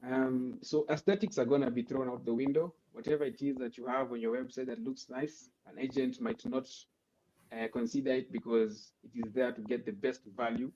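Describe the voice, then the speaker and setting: Man, panelist, mellow tone, halting and thoughtful, muffled lo-fi call audio. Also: medium pitch, a little hiss or hum. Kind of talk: panel discussion answer.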